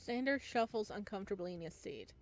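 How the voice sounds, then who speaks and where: A woman's voice: mid-range voice, animated, fairly quick. A woman reading a visual novel aloud expressively as a let's-player, with casual asides, on a close home microphone.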